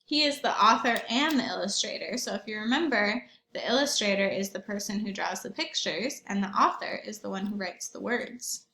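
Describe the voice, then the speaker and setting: A woman reading a storybook aloud to young children like a teacher, expressively, pausing for simple questions and comments. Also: mid-range voice, creaky, bright, forceful tone. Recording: roomy echo.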